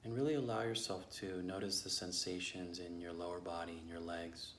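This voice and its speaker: A man leading a guided meditation as a teacher, speaking gently and slowly with long pauses. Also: low voice, steady tone. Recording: echoey room with faint background noise.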